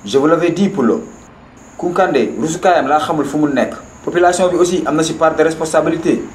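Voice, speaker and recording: A man's voice: fairly high pitch, fast, natural voice. A man voicing an opinionated know-it-all in a cartoon, matter-of-fact and self-assured, with a Senegalese accent. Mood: smug. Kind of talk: animated cartoon dialogue. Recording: roomy echo, faint background noise.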